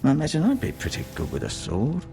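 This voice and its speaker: An actor playing a grave, opinionated middle-aged castle maester in a fantasy video game, speaking in a measured, musing, formal way. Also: male voice, fairly high pitch, wildly swooping pitch, warm tone. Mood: musing, probing.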